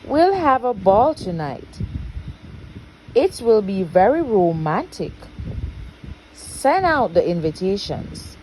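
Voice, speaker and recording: A woman voicing an impatient, blustering older king in a bedtime story, forceful and insistent. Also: mid-range voice, big pitch swings, takes it slowly, pauses often, slight background noise, rough recording.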